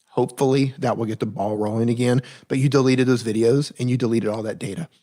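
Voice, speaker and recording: A man's voice: on the low side, a little mellow. A man hosting a live-stream Q&A, giving plain-spoken, direct advice in a casual, conversational way and often correcting himself mid-sentence. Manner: reads viewer questions aloud, then answers conversationally. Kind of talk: casual live-stream chat answering viewer questions.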